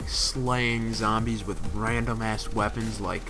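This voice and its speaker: A man's voice: on the low side, steady tone, slow. Male countdown host with music underneath. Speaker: host of a gaming countdown channel. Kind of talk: casual countdown voice-over commentary.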